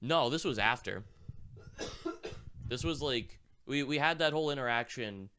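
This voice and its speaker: A young man with a sick, rough voice, co-hosting a podcast in rambling casual chat, swearing and laughing often. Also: medium pitch, lively intonation, normal speed, sharp tone. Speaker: podcast co-host.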